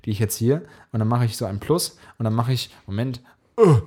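A man's voice: mid-range voice, lively intonation, fairly quick, a little mellow, breathy edge. A young man co-hosting a casual podcast on a close condenser mic, joking and poking fun at himself with a giggly manner. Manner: jokey, giggly, self-mocking. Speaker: podcast co-host. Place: recorded podcast on studio condenser microphone. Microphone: close condenser microphone.

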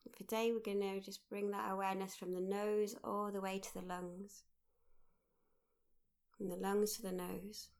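A woman leading a guided mindfulness meditation, speaking gently in short, unhurried phrases with pauses. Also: mid-range voice, level tone. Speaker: meditation guide, workshop leader.

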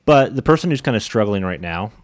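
A man with a fairly low voice hosts a casual interview. His questions wander and hesitate as he phrases them, and the sound is dry with no room echo. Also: lively intonation, fairly quick. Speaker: interview host.

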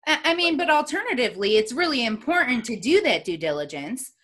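A woman's voice: on the high side, very animated, a little fast, a bit bright. A woman with an upstate New York accent, hosting a casual interview with upbeat, chatty questions. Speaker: podcast host.

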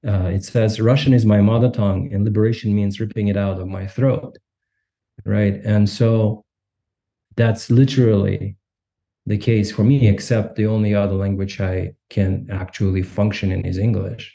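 A man from Kazakhstan, a podcast co-host, reflecting candidly in conversation. He talks haltingly, restarting often and adding "right?". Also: lowish voice, steady tone, warm tone.

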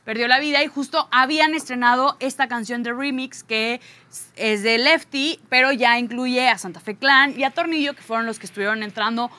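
Mujer mexicana, comentarista deportiva desenfadada y sin filtro, timbre muy brillante. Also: female voice, on the high side, normal speed, pushed and bright, no room sound. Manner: outspoken, blunt, slangy. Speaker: sports show panelist. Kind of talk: casual sports chat.

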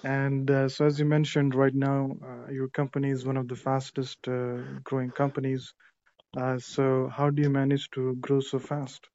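Male interviewer, flat delivery, short prompts; dull, dry recording. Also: mid-range voice, moderate pace, no echo. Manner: flat, brief prompts with fillers. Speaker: podcast host, interviewer. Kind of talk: casual interview.

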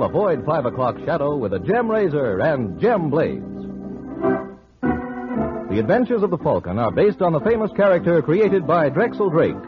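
A man reading as a scripted announcer in vintage radio style. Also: fairly high pitch. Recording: muffled old radio recording. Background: organ music.

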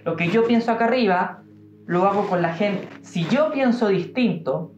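A young man with a Chilean accent giving an informal devotional talk, musing aloud with pauses and fillers. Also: sits high, expressive, slight background noise. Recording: roomy, dull-sounding room recording.

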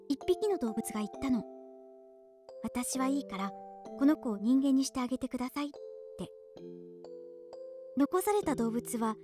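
High-voiced, earnest teenage girl, music behind. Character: earnest, gentle teenage girl who paints, shy and sincere. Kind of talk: Japanese radio drama acting. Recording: studio drama with music underneath.

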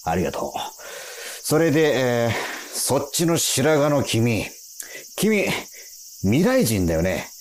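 An actor in a radio drama playing a gruff, cocky time-patrol officer, rough and condescending, easily irritated. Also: male voice, mid-range voice, big pitch swings, slow pace, pauses often. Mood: mock polite. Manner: condescending, rough interrogator talk.

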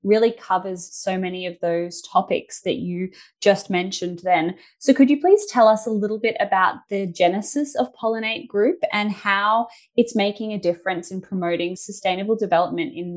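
A woman's voice: medium pitch, moderate pace, few pauses. A woman hosting an interview in a conversational, effusive and encouraging manner. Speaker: interviewer, host.

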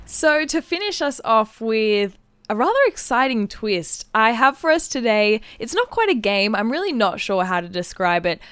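A woman's voice: fairly high pitch, big pitch swings, normal speed, fairly bright tone, smooth, no room sound, soft background music. A woman co-hosting a podcast, explaining things in a casual, chatty, giggly way. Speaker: podcast co-host. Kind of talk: casual chat, explaining a game. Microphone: close podcast microphone.

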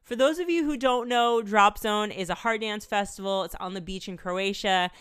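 Brief: young woman podcast host, slightly congested, chatty and upbeat, very clear voice. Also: medium pitch, moderate pace, fairly bright tone. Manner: chatty, upbeat, laughs between phrases. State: congested, recovering from a cold. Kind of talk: casual podcast chat and solo outro. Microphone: studio microphone.

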